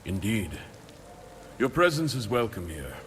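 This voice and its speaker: An actor playing a burdened, diplomatic male leader in a fantasy game, speaking in a measured, formal and solemn way. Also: on the low side, very animated, long reverb tail, a little hiss or hum. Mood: earnest, authoritative. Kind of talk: fantasy video game dialogue.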